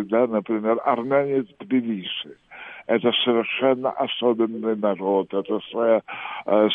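A man's voice: fairly low pitch, no echo. A man phones in to a radio program and talks at length. His speech is ornate and wandering, full of hesitant fillers. The audio is tinny and narrow like a telephone line, with a dry, close sound. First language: Russian. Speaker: radio caller. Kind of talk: call-in radio monologue.

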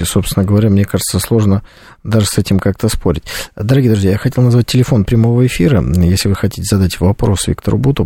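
Low, creaky male radio host. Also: warm tone, dry recording, studio quality. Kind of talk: live call-in interview hosting. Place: radio studio.

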